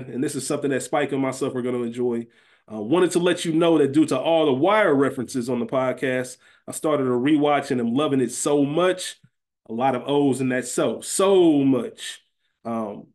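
A man's voice: medium pitch, a little mellow. A man hosting a podcast, chatting casually about television in an informal, slangy, conversational way. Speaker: podcast host.

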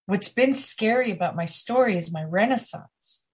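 A woman guest on a podcast, talking slowly, emphatically and intimately, with pauses. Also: medium pitch, lively intonation, a little mellow, breathy edge. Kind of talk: conversational podcast interview.

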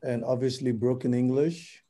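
Young guy from nigeria, yoruba native, super mellow dark voice, just chatting as a guest. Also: medium pitch, fairly even intonation, normal speed. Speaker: interview guest. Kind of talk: casual chat.

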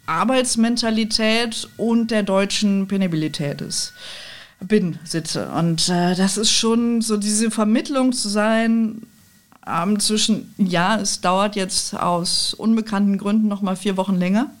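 A woman's voice: mid-range voice, unhurried. A woman from the Rhineland speaks in a medium register. She talks as an interview guest, candid and informal, telling things with a self-deprecating sense of humour. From Rhineland, Germany. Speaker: podcast guest, technical sales professional. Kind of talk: conversational interview, telling anecdotes.

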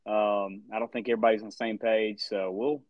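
A man's voice: on the low side, little pitch movement, brisk, no room sound. Southern-drawling man chatting sports. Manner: laid-back drawl, repeats phrases, jokey. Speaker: podcast co-host. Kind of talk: casual sports chat.

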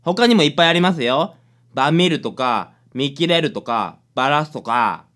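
A woman's voice: deep voice, expressive, moderate pace, fairly bright tone. A woman playing a boastful, childish junior actor and sidekick in a radio drama. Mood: smug, cheerful.

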